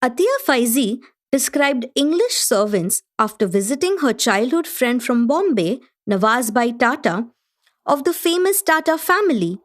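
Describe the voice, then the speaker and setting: A learned, self-educated Indian host of a literary gathering in a radio drama. She speaks in a fairly high voice, introducing and explaining with formal poise. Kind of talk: scripted narration in a radio play.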